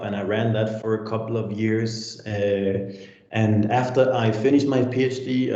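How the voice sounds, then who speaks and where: A man's voice: lowish voice, dark tone, echoey room, lo-fi sound. A man in his forties from Denmark, a senior lecturer answering interview questions in a reflective way with many restarts.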